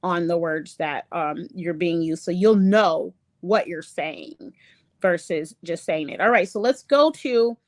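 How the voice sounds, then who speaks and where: Woman, slow halting lecture, many ums, reading slides, english not her first language, dry close sound. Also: fairly low pitch, no room sound. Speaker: online show host.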